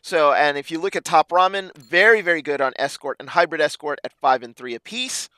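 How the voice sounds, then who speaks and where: A man with a slightly raspy voice, previewing an esports match as commentator, halting with frequent fillers. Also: a little high, pushed and bright, no room sound, low-quality recording.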